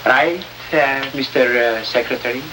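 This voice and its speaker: A scornful Latin American newspaper editor, played by a male radio actor, speaks in a middle-pitched voice with grand, sarcastic flourishes and an undertone of menace. It is old-time radio drama, with clear noise over the recording. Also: moderate pace, natural voice. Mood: mocking. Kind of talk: 1950s radio drama acting.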